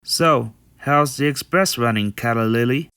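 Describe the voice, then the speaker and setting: A man acts out a friendly young stallion from a fan-made cartoon dub. He is chatty and practical, explaining things earnestly in a clean, smooth voice. The sound is dry and close. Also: mid-range voice, measured pace, fairly bright tone, dry recording. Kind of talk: amateur comic dub voice acting.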